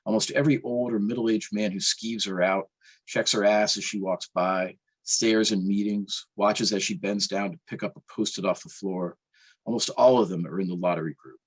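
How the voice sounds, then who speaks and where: Man, author reading, lower-pitched, husky grain, wry prose narration. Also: fairly even intonation, moderate pace, rough recording. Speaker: author reading own novel. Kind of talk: audiobook-style reading of literary fiction.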